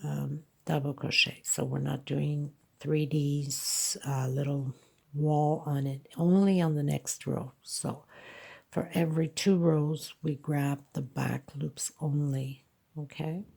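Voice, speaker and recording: Congested woman crochet teacher, unhurried, gravelly and husky, calm step-by-step guidance. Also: deep voice. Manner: slow, counting stitches aloud with frequent okays. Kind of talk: hands-on tutorial narration.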